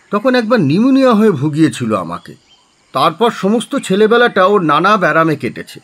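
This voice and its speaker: A man's voice: fairly high pitch, fairly bright tone. A man playing an elderly, kindly, anxious village doctor who fusses and grumbles good-naturedly in a Bengali audio drama.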